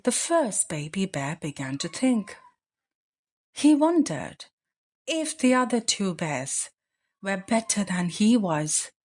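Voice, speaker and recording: Very slow woman storyteller. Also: on the high side, darkish tone. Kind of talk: slow bedtime story narration for children.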